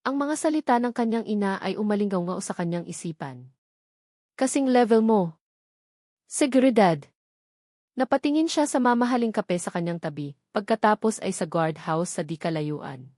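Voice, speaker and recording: A woman's voice: medium pitch, animated, a little fast, darkish tone, no echo, clean studio sound. A woman reading a scripted romance story as its narrator.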